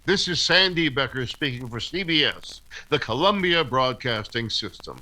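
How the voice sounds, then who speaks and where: A man's voice: mid-range voice, expressive, normal speed, close to an everyday voice. A man reading scripted announcements and narration in the style of a 1940s radio announcer. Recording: music under the voice.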